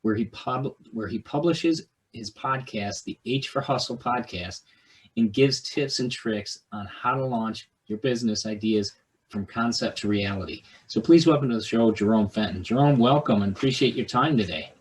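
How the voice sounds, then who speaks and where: A man's voice: medium pitch, moderate pace, poor audio. A man hosting a podcast, reading the guest's bio, then asking loose, rambling questions. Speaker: podcast host. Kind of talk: podcast intro and interviewing.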